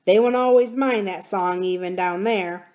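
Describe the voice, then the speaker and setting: A woman's voice: medium pitch, normal speed, a little mellow, close to an everyday voice. A woman voicing a confident, cheerful twelve-year-old miner boy who talks bluntly and fearlessly in a storybook reading. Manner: plain-spoken, matter-of-fact, self-assured.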